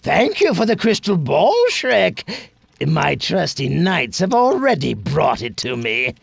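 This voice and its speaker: Wildly swooping, gravelly pompous villain. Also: male voice, a little high, big pitch swings, dry recording, heavily acted. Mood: gloating. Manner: pompous, gloating, drawn-out delivery. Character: theatrical, smug, rotten villainous male tyrant. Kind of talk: video game cutscene acting.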